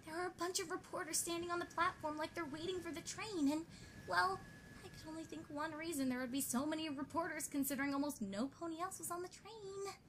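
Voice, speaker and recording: Woman as young cartoon-style pony daughter, very high and bright, furtive, urgent, rumbly noisy home mic. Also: fairly quick. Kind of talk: amateur audio drama acting.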